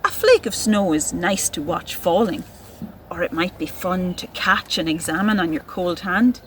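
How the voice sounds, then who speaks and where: A woman speaking as an educator to young children, narrating and reading poetry in an expressive, deliberate way. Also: lowish voice, a little hiss or hum. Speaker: nature educator and poetry reader.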